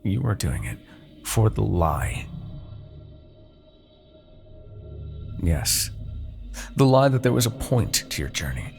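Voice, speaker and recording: A man playing a haughty, seductive demon king in a fantasy audio roleplay, persuading with silky condescension, dramatic pauses and a gravelly voice. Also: sing-song, a little mellow, faint music behind, heavily acted. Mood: mocking, insinuating. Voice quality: gravelly, creaky low voice. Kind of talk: fantasy ASMR roleplay monologue.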